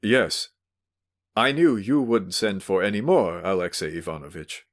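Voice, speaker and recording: A man doing a tipsy, fawning gentleman. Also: on the low side, expressive, a little slow. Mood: submissive. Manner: tipsy, pleading, effusive. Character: drunk, fawning, sentimental middle-aged man.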